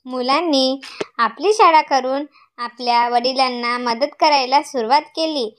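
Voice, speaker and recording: Woman storyteller for a cartoon, high voice, scripted moral tale narration. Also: a little slow, fairly bright tone, smooth.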